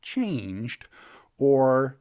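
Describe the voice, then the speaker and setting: A man reads old-fashioned tales aloud as a storytelling host. His delivery is measured and literary, with a very animated pitch that rises and falls widely around a middling register. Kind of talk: reading an old ghost story aloud, with commentary.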